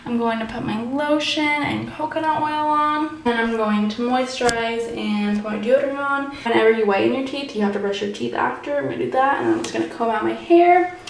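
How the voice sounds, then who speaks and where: A young woman with a high voice talks to her viewers in a casual vlog style. She is chatty and informal, with a giggly manner. There is faint noise behind her. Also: fairly quick, creaky, hardly any pauses, rough recording. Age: young adult. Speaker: vlogger. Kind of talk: casual get-ready-with-me vlog chat.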